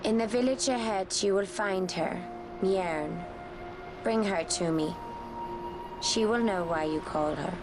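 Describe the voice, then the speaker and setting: A woman's voice: medium pitch, a little slow, pauses often, music in the background, lightly acted. Solemn, proud exiled forest woman, played by an actress. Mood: solemn, guarded. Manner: solemn, formal, archaic phrasing. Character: proud, grave exiled female Viera wanderer. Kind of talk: video game voice acting.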